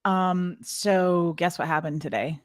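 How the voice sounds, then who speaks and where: A woman's voice: fairly low pitch, fairly even intonation, slow, no room sound, studio quality. A woman, co-hosting a livestream, chatting playfully and greeting viewers. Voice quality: slightly raspy. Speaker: livestream co-host. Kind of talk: casual chat reading out viewer names.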